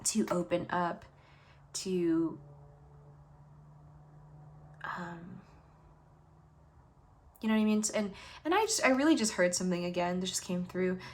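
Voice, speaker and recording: A woman's voice: fairly low pitch, measured pace, pauses often, a little mellow, a little hiss or hum. A woman reading tarot cards to camera, rambling and affirming, with frequent ums and you-knows. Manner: earnest, affirming and encouraging. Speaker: tarot reader.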